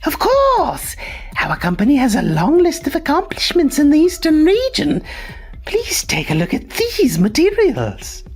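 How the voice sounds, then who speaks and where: A woman voicing a cocky, careless young boss's son in a manga dub, breezy and excitable. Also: sits high, a little slow, heavily acted. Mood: overconfident, flustered. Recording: music under the voice.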